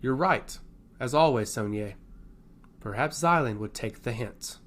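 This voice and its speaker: A man acts out a proud lord in a fantasy tale, scornful and skeptical. His delivery is passionate, with a lively, wide-ranging pitch at a middle register. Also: measured pace. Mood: grudging agreement. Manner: passionate, scoffing. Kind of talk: fantasy audio story.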